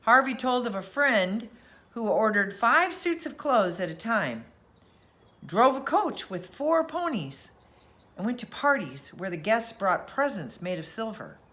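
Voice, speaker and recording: A woman reads a storybook aloud for children at bedtime. Her voice is bright and forward, with very animated pitch. She tells the tale expressively. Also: unhurried.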